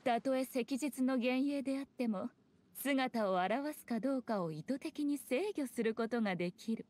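Game role: teasing fox priestess, high-pitched, haughty, composed, speaking in archaic noble style. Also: female voice, animated, moderate pace, a little mellow. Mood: composed, explaining. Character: elegant, sly, teasing fox shrine maiden. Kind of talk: Japanese video game acting.

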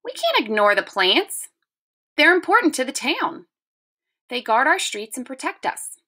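Lady reading a worn-out storybook dad, earnest n emphatic, high bright voice, slow. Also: sing-song, heavily acted. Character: tired, apologetic dad and town gardener in a storybook.

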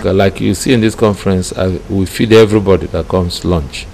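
A middle-aged man with a Nigerian accent speaks in a low, dark, gravelly voice. He gives his testimony deliberately, in short phrases, like a church leader being interviewed. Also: steady tone. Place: small indoor room with curtains. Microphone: handheld interview microphone. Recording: clear background noise.

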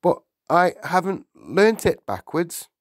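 A nervous little schoolboy from a children's storybook , put on by a man. The voice is pushed up high. He sounds flustered and pleading, ready to stammer under pressure. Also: sing-song, slow pace, no echo, clean studio sound, heavily acted. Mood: bewildered.

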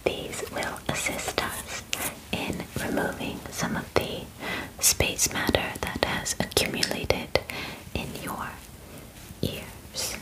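A woman in her twenties doing a softly whispered ASMR roleplay as a calm, methodical alien examiner, soothing and attentive, close to the microphone. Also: low-quality recording. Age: 20s. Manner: soft, unhurried, soothing, close personal attention. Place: small indoor bedroom studio.

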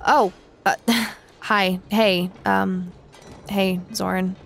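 Woman acting tired guarded lady soldier in a fantasy audio drama, slow n gravelly, hesitant. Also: mid-range voice, steady tone, a little hiss or hum. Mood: awkward, uneasy. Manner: halting, sighing. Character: weary, guarded female ex-Dark Army officer.

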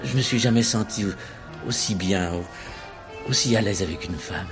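An actor playing a smitten, respectable wealthy bachelor in a French radio play, earnest and hesitant with a slight stammer. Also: male voice, on the low side, measured pace, music in the background. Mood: amorous, eager.

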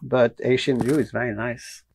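Older french guy, gravelly voice, blunt and chatty, lapel mic in a small room. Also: medium pitch, normal speed. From France. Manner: blunt, animated, gestures with hands while talking. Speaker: guest, martial arts teacher. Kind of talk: relaxed interview storytelling. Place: small studio room with wooden cabinets, indoors.

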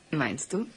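Low-voiced, teasing temptress. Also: female voice, a bit bright, breathy edge, full character voice. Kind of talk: German radio play acting.

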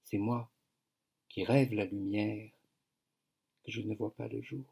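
An older man recites poetry slowly and evenly over a webcam, with little rise or fall in his voice. His tone is husky and unhurried. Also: fairly low pitch. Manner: slow, even, measured recitation. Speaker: author reading his poem. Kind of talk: slow poetry reading. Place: home study with bookshelves, indoors. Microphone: webcam on a video call.

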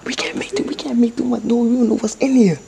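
A young man vlogging with a handheld camera as he explores a dark abandoned building at night, talking in loose slang and dropping to whispers. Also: very high voice, fast talker, vocal fry. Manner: slangy, excitable, often hushed to a whisper. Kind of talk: casual handheld vlog. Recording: noisy camera audio.

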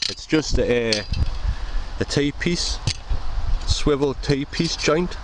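A man's voice: on the high side, lively intonation, drawn-out words. A man in his forties with a Northeast English accent chatting casually to a handheld camera, rambling with lots of ums and restarts. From North East England. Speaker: allotment vlogger. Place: outdoor allotment garden, then indoors in a shed. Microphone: handheld camera mic, then fixed camera. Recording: outdoor ambience with sirens and traffic. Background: outdoor ambience, traffic.

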